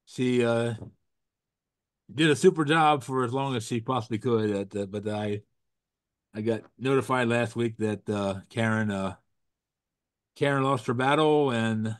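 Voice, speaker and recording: A man's voice: mid-range voice, dry recording. Froggy man hosting a video call. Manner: rambling, restarts, corny puns. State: getting over a cold, froggy. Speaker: host. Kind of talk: casual chat with jokes.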